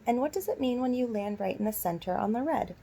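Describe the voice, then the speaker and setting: Creaky-voiced woman teacher reading aloud. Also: on the high side, lively intonation, fast, warm tone. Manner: instructive, addresses children directly with questions. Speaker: elementary school teacher. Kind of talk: storybook read-aloud with questions to young children.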